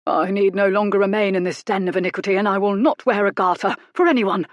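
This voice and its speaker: A woman voicing a pompous, self-righteous Regency gentleman writer who speaks with indignant force. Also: mid-range voice, quick pace, no echo, lo-fi sound, natural voice. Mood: outraged.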